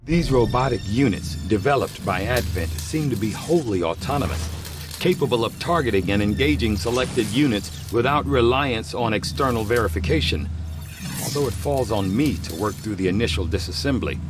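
An actor playing a studious military scientist, narrating clinical findings formally and pensively in a video game. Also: male voice, medium pitch, animated, normal speed, music in the background, close to an everyday voice.

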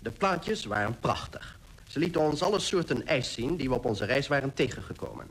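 An actor playing an admiring expedition member, recounting polar sights in a radio play. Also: male voice, mid-range voice, animated, brisk, a bit bright, slight background noise, low-quality recording. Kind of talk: scripted radio drama narration.

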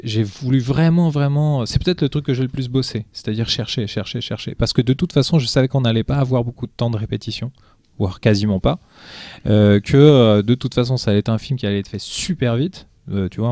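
A man's voice: mid-range voice, darkish tone, no room sound. A man being interviewed on a podcast about his film, talking casually and animatedly, with many restarts and fillers. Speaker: film director, guest.

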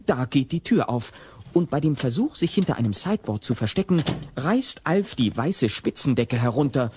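A man narrating a scripted audio play as an expressive storyteller. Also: mid-range voice, moderate pace, noisy background. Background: loud music.

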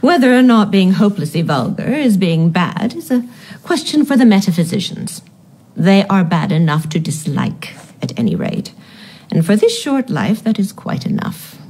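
An actress playing a haughty, disapproving upper-class aunt in a radio drama, with an imperious, precise manner. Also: female voice, mid-range voice, sing-song, normal speed, darkish tone, husky. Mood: disdainful.

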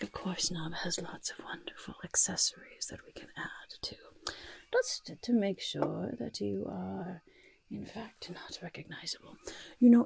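A woman playing a quirky, friendly goblin master of disguise in a soft-spoken, often whispered ASMR roleplay, fussily repeating words. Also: deep voice. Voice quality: breathy whisper mixed with creaky soft voice. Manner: refers to self in third person, fussy, repeats words. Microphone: close ASMR microphone. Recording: close-up with audible hiss and clicks.

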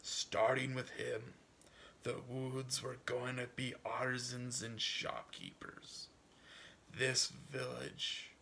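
A man reading a story aloud as a proud, stubborn old farmer, folksy and musing, with a gravelly voice that drifts into hushed asides. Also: mid-range voice, a little hiss or hum, heavily acted. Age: older. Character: proud, wistful, stubborn old farmer dreaming for his son. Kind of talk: dramatic audiobook reading in first person.

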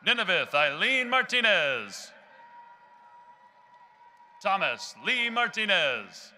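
Loud bright young man reading names. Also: high voice, big pitch swings, some chatter behind. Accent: Texan. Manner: loud, measured announcing of names. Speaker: graduation name reader. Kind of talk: reading graduate names at a ceremony. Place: large indoor arena stage. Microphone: podium microphone.

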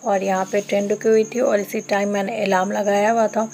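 A woman's voice: mid-range voice, little pitch movement, a little fast, gravelly, a little mellow. A woman from Bokaro in India, chatting through her train journey as a travel vlogger and explaining each step to viewers on a handheld phone camera. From Bokaro, Jharkhand, India. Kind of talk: casual travel vlog narration. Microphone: phone camera held while filming.

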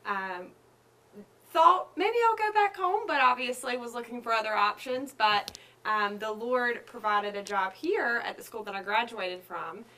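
A woman's voice: fairly high pitch, unhurried, bright, forceful tone, a little hiss or hum, low-quality recording. A young woman in her twenties from Georgia, a teacher, chatting through her story as an interview guest, with many ums and quoted remarks. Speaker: guest, schoolteacher. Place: living-room style studio set. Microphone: lapel mic.

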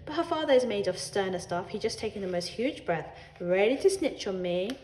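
A woman voicing an indignant, self-excusing pet cat in an animated, wry reading of a children's storybook. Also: mid-range voice, a little fast, a little hiss or hum, lightly acted. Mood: scornful. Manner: wry, defensive, disdainful.

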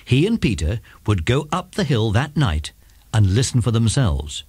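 Male narrator, children's audiobook: highly varied intonation, slightly grainy, close dry recording. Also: big pitch swings, moderate pace, a little mellow, husky, no echo. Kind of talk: scripted children's story narration.

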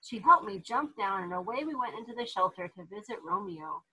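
Storybook pup played by a woman: eager, innocent boy dog, wide-eyed and childlike, bright voice with a husky edge. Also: mid-range voice, lively intonation, a little fast, full character voice, poor audio. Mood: excited, happy. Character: eager, innocent, excitable young male dog narrating his day.

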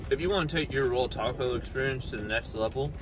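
A man's voice: mid-range voice, fast. A man in his forties doing a casual, joking food review from a parked car, filmed on a dashboard phone. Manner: joking, sarcastic asides. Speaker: food vlogger. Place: parked car, outdoors noise. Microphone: phone camera on dashboard. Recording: car with clear street noise.

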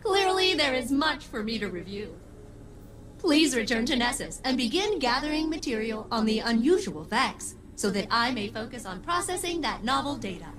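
A woman's voice: fairly high pitch, very animated, moderate pace. An actress voicing a formal, eager-to-serve female ship AI in a video game, speaking in a precise, slightly robotic way.

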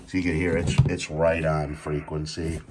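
Deep-voiced man giving a casual demo. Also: flat delivery, normal speed, very noisy. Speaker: radio seller and restorer demonstrating a CB radio. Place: small indoor room at a workbench.

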